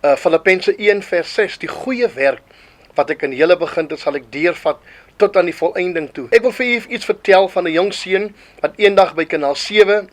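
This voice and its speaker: A man's voice: sits high, brisk. A man who sounds like a church leader, giving a fervent testimonial in a preacherly way and quoting scripture.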